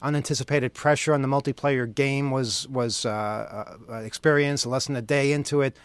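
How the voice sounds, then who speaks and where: A man's voice: mid-range voice, steady tone, normal speed, clean tone, no room sound. A man hosting a radio show, bantering casually live on air in a wry, joking, sardonic manner. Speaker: radio host.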